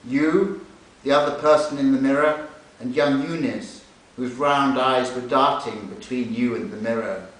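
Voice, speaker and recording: Slow-paced man in an echoey room. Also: medium pitch, fairly bright tone. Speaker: literary translator reading aloud. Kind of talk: introducing and reading a novel extract at a live reading. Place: indoor library event room. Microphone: distant recorder away from the speaker. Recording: echoey, hissy library room recorded from far off.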